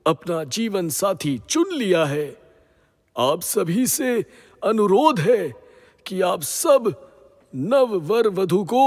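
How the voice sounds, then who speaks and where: A man's voice: sits high, full character voice. An actor playing a gracious, fatherly serpent king in a mythological audio drama, speaking formally and ceremoniously. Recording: long reverberant hall echo.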